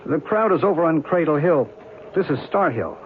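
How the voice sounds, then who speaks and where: An actor playing a calm, knowledgeable guide to ancient mysteries, speaking in a measured, explanatory way in a radio drama. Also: male voice, fairly high pitch, close to an everyday voice. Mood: quietly guiding. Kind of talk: old-time radio drama acting. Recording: tinny, hissy band-limited radio recording.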